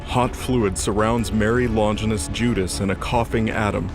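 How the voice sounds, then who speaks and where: A man's voice: lowish voice, fairly even intonation, normal speed, music in the background. A man narrating a scripted audio drama in a dramatic storytelling style.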